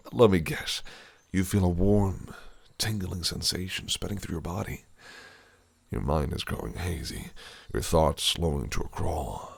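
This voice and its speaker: A man's voice: deep voice, vocal fry, breathy edge, heavily acted. A man voicing a possessive, cunning fae prince in an audio roleplay, speaking in a silky, teasing, menacing way. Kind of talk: listener-directed audio roleplay.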